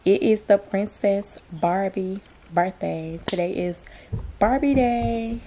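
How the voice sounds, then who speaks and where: A young woman chatting casually to camera and to her child as a vlogger, in informal, slangy, drawn-out phrases. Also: medium pitch, dark tone, slight background noise. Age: young adult. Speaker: vlogger, mother. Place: small plain room indoors.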